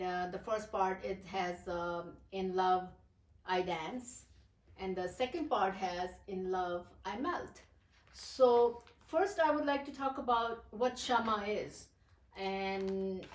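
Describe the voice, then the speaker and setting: Woman, distant and echoey. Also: mid-range voice. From Pakistan. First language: Urdu. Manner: hesitant, many fillers and restarts. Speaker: poet, interview guest. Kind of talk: casual interview.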